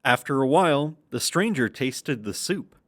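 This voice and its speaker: A man's voice: mid-range voice, lively intonation, moderate pace, a bit bright. A man narrating a children's puppet show in a scripted storytelling style.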